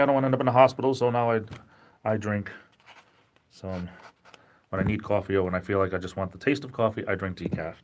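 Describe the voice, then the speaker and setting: A man from Rhode Island with a Rhode Island accent, chatting casually and rambling self-deprecatingly as he hosts a livestream from home. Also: on the low side. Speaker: livestream host.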